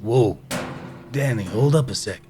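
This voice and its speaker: An actor playing a cocky dockside union gangster in an audio drama. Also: male voice, fairly low pitch, animated, a little slow, music in the background. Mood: wary, defiant.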